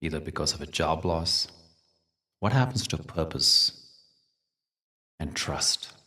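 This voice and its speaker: A man hosting a guided meditation, speaking and telling stories in a gentle, deliberate, soothing way with dramatic pauses. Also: a bass voice, animated, distant mic. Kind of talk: guided meditation talk with storytelling.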